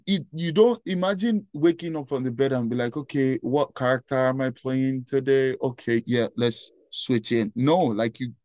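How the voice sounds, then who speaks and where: A man's voice: medium pitch, a little mellow, no echo. A young man with a Nigerian accent, a nonprofit founder answering interview questions in an earnest way and tagging "right?" onto his sentences. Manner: earnest, repeats "right?" often.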